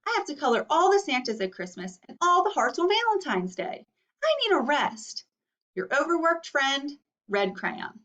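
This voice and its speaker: A woman's voice: high-pitched, big pitch swings, moderate pace, fairly bright tone, voice pushed up. A woman voicing an overworked male red crayon from a storybook, complaining emphatically and pleading for rest. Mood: weary complaint. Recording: dull, distant microphone.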